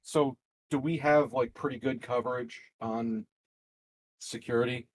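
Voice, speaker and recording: A man playing a tabletop game, improvising dialogue casually in a hesitant, measured way. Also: medium pitch, fairly even intonation, slight background noise.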